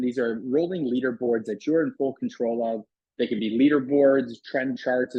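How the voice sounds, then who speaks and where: A man talks through a software demo in a conversational, explanatory way. His mid-pitched voice is a little husky, and the close recording is very dry. The delivery is casual sales patter. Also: dry recording, lo-fi sound. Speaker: software product demonstrator. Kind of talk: casual product demo walkthrough voice-over.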